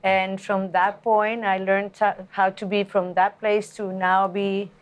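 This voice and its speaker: A woman's voice: mid-range voice, level tone, measured pace, smooth. A woman from Colombia, a native Spanish speaker with a Colombian accent, telling a personal story as a talk show guest.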